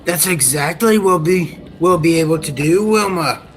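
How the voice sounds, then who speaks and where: Young guy acting earnest scientist doctor, high voice, old radio serial style, bit of noise. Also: normal speed, natural voice. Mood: earnest, explaining. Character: earnest male scientist doctor, excitable inventor.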